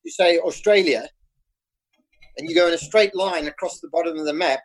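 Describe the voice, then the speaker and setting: A man's voice: a little high, fairly quick, rough recording. A South African man in his fifties, a guest in casual conversation, explaining things patiently and laughing easily. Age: 50s. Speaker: podcast guest.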